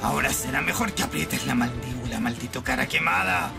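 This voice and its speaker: A man's voice: mid-range voice, fairly quick, gravelly, over loud music. A man voicing an arrogant, explosive teenage boy anime hero who speaks in aggressive insults. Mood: combative.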